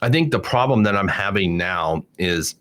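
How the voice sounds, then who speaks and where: A man's voice: sits low, expressive, moderate pace, fairly bright tone. A man in his forties talking politics in a casual podcast chat, rambling with many restarts and fillers. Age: 40s. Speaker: podcast guest.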